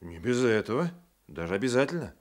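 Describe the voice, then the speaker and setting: Man acting swaggering, animated folksy Cossack constable. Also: medium pitch, natural voice. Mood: sly, jaunty. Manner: rustic colloquial speech, unhurried yarn-spinning. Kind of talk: radio play acting.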